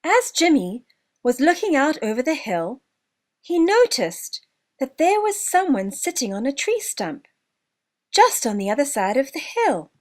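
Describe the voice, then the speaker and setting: High-pitched, slow, animated woman storyteller. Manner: expressive storyteller delivery with deliberate emphasis. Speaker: author narrating own children's story. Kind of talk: scripted children's story narration.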